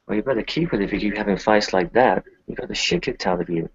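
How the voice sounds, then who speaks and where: A man playing a blunt, wary, sardonic fighter in a tabletop game, with a gravelly, husky voice. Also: on the low side, quick pace, no echo. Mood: blunt, incredulous. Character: wary, blunt, envious kinfolk man, cursing. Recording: muffled, dry close recording.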